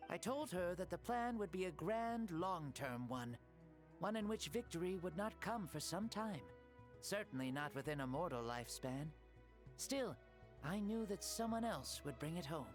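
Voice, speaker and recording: An actor playing a genial ancient man returned from death, telling his tale gently in game cutscene dialogue. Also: high voice. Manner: gentle, lively, measured storytelling. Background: soft game music.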